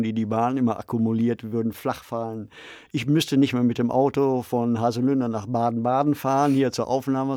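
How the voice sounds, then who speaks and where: A man's voice: medium pitch, a little mellow, dry recording. A man co-hosting a podcast from a studio, musing aloud conversationally and repeating words for emphasis. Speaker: podcast co-host. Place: recording studio.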